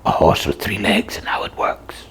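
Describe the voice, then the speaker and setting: A woman voicing a gruff, dim, curious sock puppet sidekick in a comic puppet show, with a husky voice and a halting, deadpan, questioning manner. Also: whispered, lightly acted. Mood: matter-of-fact. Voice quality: husky, gravelly.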